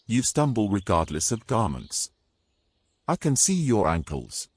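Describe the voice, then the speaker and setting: A man's voice: fairly low pitch, sing-song, a little slow, breathy edge, no echo, full character voice. A man playing a domineering, scornful knight in a fantasy audio drama, speaking in pompous, old-fashioned phrases. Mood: scolding.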